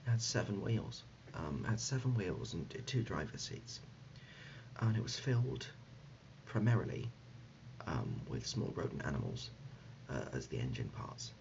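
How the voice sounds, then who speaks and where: Low, creaky deadpan dad played by a man. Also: heavily acted. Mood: fond deadpan. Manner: halting, deadpan, often drops to a whisper. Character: deadpan absurd dad, gently reminiscing and insistent. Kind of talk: ASMR comedy roleplay. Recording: dull lo-fi audio, loud background noise.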